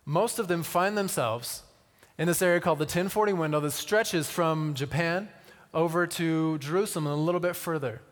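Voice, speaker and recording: Man preaching in a reverberant hall. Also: fairly high pitch. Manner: conversational, with deliberate dramatic pauses and repetition. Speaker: missionary speaker. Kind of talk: sermon with personal storytelling to an audience.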